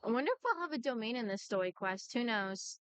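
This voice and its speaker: A woman's voice: on the high side, lively intonation, fast talker, a bit bright, clear, resonant tone, no echo. A woman streaming a video game, chatting casually and reading dialogue aloud over game music.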